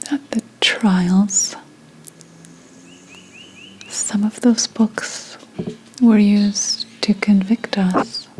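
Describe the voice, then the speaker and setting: Woman as centuries-old reincarnated witch, ASMR roleplay. Hushed, mournful, unhurried whisper at medium pitch. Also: a little hiss or hum, close to an everyday voice, rough recording. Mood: grave, bitter. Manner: hushed, slow, deliberate storytelling.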